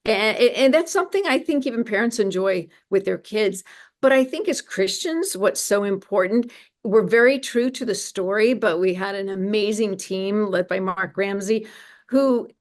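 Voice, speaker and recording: A woman speaking earnestly and enthusiastically as an interview guest promoting her organization's work. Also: mid-range voice. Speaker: guest, media ministry representative.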